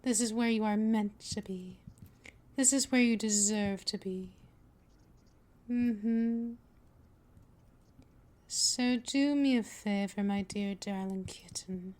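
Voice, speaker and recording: A woman's voice: mid-range voice, takes it slowly, pauses often, darkish tone, natural voice. A woman playing a patient, possessive vampire mistress in a scripted ASMR roleplay, speaking in a coaxing, soothing, dominant manner that often drifts toward a whisper.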